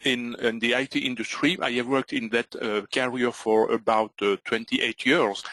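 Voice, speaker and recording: A middle-aged Belgian man, not a native English speaker, guides a live online webinar. He halts often, filling gaps and restarting phrases. His voice sits at an ordinary pitch in a dry, close recording. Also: fairly bright tone, no echo, low-quality recording. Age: middle-aged or older. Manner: hesitant, many fillers and restarts. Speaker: trading webinar presenter.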